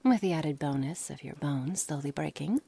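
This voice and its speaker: A woman playing a cold, curious, menacing witch in an ASMR roleplay, speaking formally and condescendingly, often dropping into breathy near-whispers. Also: sits low, animated, normal speed, warm tone, full character voice. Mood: clinical fascination. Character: cold, menacing, curious witch experimenting on her captive pet. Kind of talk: ASMR roleplay, scripted dramatic monologue. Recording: noisy background ambience.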